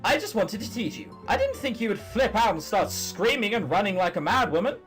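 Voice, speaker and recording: A young male unicorn played by a man reading aloud speaks. He teases and reassures with some exasperation, in a high voice that jumps around in pitch. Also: big pitch swings, a little fast, fairly bright tone, faint music behind. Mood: reassuring. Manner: exasperated, teasing. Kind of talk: visual novel dialogue read aloud.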